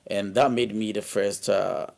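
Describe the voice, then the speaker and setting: Gravelly low-voiced man, measured and halting. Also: little pitch movement, very noisy. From Ghana. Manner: measured, pauses with frequent uh fillers. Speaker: city councillor. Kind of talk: broadcast interview answers.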